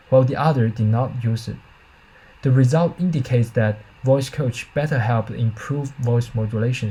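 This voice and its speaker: A man's voice: medium pitch, dark tone, husky, rough recording. A man reading a scripted academic presentation as a researcher, in a careful, formal manner with slight stumbles.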